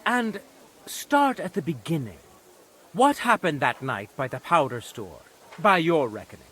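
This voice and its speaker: An actor playing a stern, shrewd medieval nobleman in judgment, speaking in a measured, imperious and dryly sardonic way for a video game. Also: a little high, big pitch swings, a bit bright, husky, exaggerated voice. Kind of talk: dramatic video game acting.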